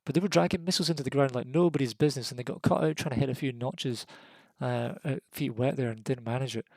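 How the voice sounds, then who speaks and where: A Scottish man giving live, casual, excitable commentary on a competitive flight game match, voice jumping up at the big moments. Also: medium pitch, quick pace, gravelly, no room sound. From Scotland. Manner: excitable, rises sharply at kills; casual and jokey.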